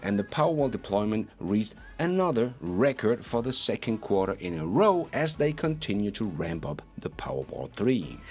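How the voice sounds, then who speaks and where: Low-voiced man with lively, swinging pitch. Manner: emphatic, opinionated, chuckles between points. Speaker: host of a stock news channel. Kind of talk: enthusiastic news commentary.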